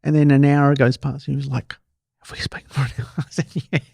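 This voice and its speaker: An Australian man hosting a podcast, chatting casually and telling stories in an animated way, repeating himself for emphasis. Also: medium pitch, quick pace, gravelly, slightly rough, no echo. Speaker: podcast host. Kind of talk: casual interview chat, storytelling.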